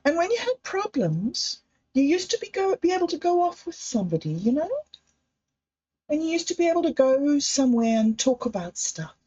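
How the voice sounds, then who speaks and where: A woman hosting a livestream, talking slowly and candidly in a meandering personal monologue. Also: high voice, sing-song, warm tone. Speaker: livestream host. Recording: dull lo-fi livestream audio.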